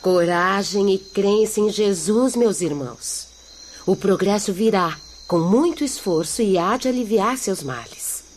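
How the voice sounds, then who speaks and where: An actress playing a devout, hopeful peasant daughter in a radio drama, consoling others with earnest preaching. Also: female voice, mid-range voice, lively intonation, unhurried, darkish tone, natural voice. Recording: faint noise with crickets behind.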